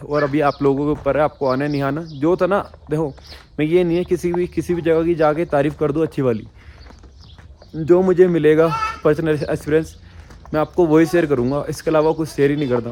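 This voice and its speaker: A young man vlogging on a trip, his throat a little hoarse, talking bluntly and chattily straight to his viewers. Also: fairly high pitch, steady tone, fairly quick, a little mellow, slight background noise. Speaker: travel vlogger. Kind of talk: casual travel vlog talk.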